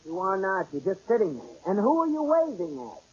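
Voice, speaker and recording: An actor playing an impatient, sarcastic husband in a 1940s radio comedy, exasperated and given to disbelief. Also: male voice, high-pitched, very animated, brisk, darkish tone, husky, exaggerated voice. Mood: exasperated, alarmed. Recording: dull old radio recording.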